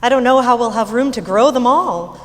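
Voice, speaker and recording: Woman doing a worried gentle mom in a stage play, coaxing and hesitant, highish voice, big echoey hall. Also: natural voice. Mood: coaxing, tentative. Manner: halting, tentative, coaxing. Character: gentle, anxious mother coaxing her grieving daughter. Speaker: actor in staged reading of musical. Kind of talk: live stage reading acting. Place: high school stage. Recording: echoey hall, long reverb.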